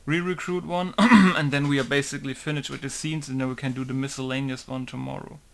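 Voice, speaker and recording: A man's voice: medium pitch, fairly even intonation, a little fast, creaky, a bit bright. A young man modding a game, chatting casually in rambling asides while he works at his computer. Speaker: game modder. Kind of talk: casual working commentary.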